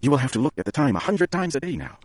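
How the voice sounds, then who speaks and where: A man's voice: mid-range voice, expressive, quick pace, vocal fry, husky, no room sound, pitched above the performer's own voice. A man voicing a loving, anxious young wife in a story reading, in a raised pleading voice. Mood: eager.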